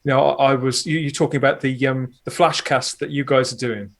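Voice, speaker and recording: A man's voice: mid-range voice, steady tone, a little fast, gravelly. A man chatting casually as a podcast guest, effusive and rambling as he talks. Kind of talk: casual chat.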